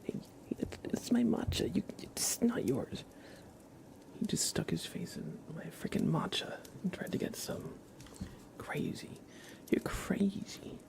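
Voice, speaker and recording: A man hosting an ASMR livestream, chatting gently and informally in a soft, breathy voice that often slips into a whisper, close to the microphone. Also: high voice, big pitch swings, a little slow. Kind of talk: soft-spoken ASMR, casual live chat.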